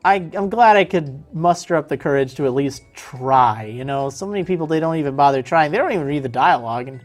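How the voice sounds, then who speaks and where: A woman's voice: low voice, lively intonation, brisk, soft background music. A woman streaming a video game in casual chat, answering viewers as she plays, her voice strained and tiring. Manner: chatty, answers viewer chat while playing.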